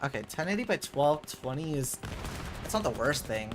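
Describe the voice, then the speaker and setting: An eighteen-year-old man casting a competitive VR shooter match alone, rambling with lots of fillers between rounds and jumping loud and high when plays happen. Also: very animated, moderate pace. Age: young adult. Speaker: esports caster. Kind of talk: solo play-by-play game commentary with viewer chat. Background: game music.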